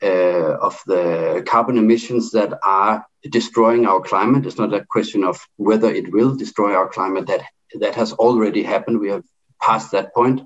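A middle-aged man from Denmark with a Danish accent, speaking in an online debate, halting and full of fillers and restarts. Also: lowish voice, fairly even intonation, dull audio.

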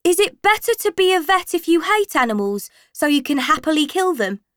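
A woman's voice: high-pitched, very animated, brisk, fairly bright tone, no room sound, studio quality, voice pushed up. A woman voicing cheeky, insolent teenage schoolchildren in an audiobook. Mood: curious, pert. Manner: insolent, pert.

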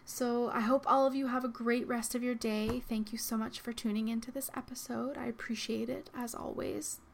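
A young woman hosting her own podcast, talking in a soft, reflective way with frequent ums and likes, her voice slightly worn. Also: on the high side, steady tone, moderate pace, gravelly, a little hiss or hum. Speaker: podcast host. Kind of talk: solo podcast monologue. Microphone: podcast microphone.

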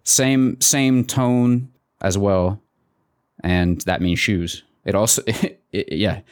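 A man co-hosting a podcast, explaining things casually and haltingly, repeating words. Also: fairly low pitch, clean tone, no echo, clean studio sound. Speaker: podcast co-host. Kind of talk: casual conversation.

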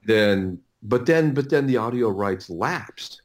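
A middle-aged man talking casually as an interview guest, in a halting, rambling way with many repeated words and restarts. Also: mid-range voice, lively intonation. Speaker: interview guest, audiobook producer.

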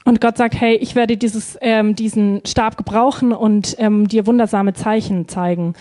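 A woman's voice: mid-range voice, steady tone, measured pace, warm tone. A woman interpreting a sermon live, phrase by phrase, hesitating and feeling for words with frequent ums.

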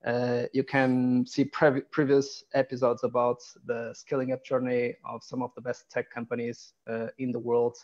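A man hosting a live video podcast over a video call, introducing his guest with a halting, hesitant delivery. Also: medium pitch, completely flat delivery, a little mellow, highs cut off. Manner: halting, many ums and restarts. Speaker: podcast host.

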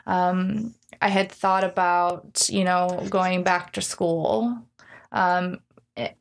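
A woman's voice: on the low side, fairly even intonation, takes it slowly. A woman in her thirties talking casually in an interview, candid, reflective and self-deprecating. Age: 30s. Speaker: gym owner being interviewed.

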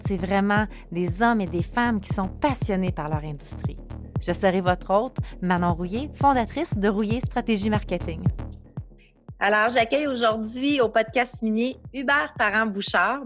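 A woman with a Québécois accent hosting a podcast, reading an introduction and then asking conversational questions. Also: mid-range voice. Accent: Québécois French. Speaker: podcast host. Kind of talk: podcast interview. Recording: dry with faint music behind.

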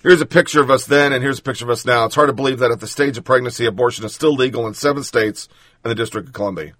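A man's voice: medium pitch, rapid-fire, fairly bright tone, no echo, clean studio sound. A man hosting political commentary, reading news and then ranting bluntly with sarcasm and profanity.

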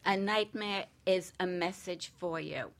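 A woman's voice: fairly low pitch, unhurried. A woman speaking as a guest dream interpreter in a casual panel chat, answering with firm emphasis.

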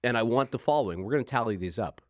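A man's voice: mid-range voice, animated, very fast, no echo, clean studio sound. Teasing male radio co-host. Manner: teasing, mock-indignant. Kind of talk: casual banter.

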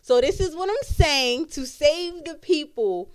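A woman's voice: high voice, expressive, measured pace, dry recording, rough recording. An American woman in her thirties chatting casually as a podcast co-host, emphatic, slangy and given to swearing. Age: 30s. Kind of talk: casual opinionated chat.

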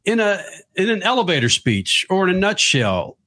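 A man hosting a talk show, loud and slangy, introducing and questioning a guest. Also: sits high, very animated, normal speed. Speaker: radio show host. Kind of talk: boisterous talk show interview.

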